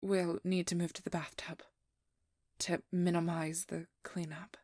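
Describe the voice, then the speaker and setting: A woman playing a determined, exasperated vampire hunter with a guarded caring side, speaking softly and often in whispers in an intimate ASMR audio roleplay. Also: on the low side, little pitch movement, unhurried, no room sound, studio quality. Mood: matter-of-fact, caring. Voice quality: soft, breathy, often whispered.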